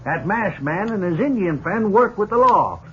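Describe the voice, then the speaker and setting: An actor playing a plain-spoken, confident sheriff with folksy talk in a 1950s radio western. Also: male voice, high voice, lively intonation, moderate pace, sharp tone. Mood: scornful. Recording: dull old radio broadcast, faint hiss.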